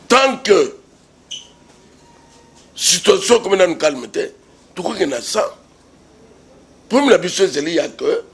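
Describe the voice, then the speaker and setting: A Congolese man argues politics with force in a fairly high, raspy voice edged with gravel. He repeats himself for emphasis and throws out rhetorical questions as a commentator being interviewed. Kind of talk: impassioned political argument in an interview.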